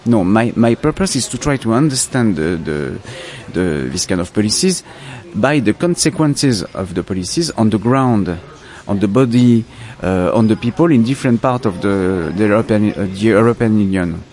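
Low-voiced French man, halting. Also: lively intonation, darkish tone. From France. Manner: halting, searching for words, reflective. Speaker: filmmaker, interview guest. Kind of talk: festival interview.

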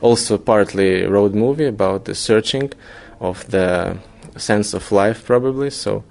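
A man with a Ukrainian accent answers interview questions conversationally. His voice is fairly low with heavy vocal fry. As a non-native English speaker, he moves haltingly and searches for his words. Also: a little mellow. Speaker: filmmaker being interviewed.

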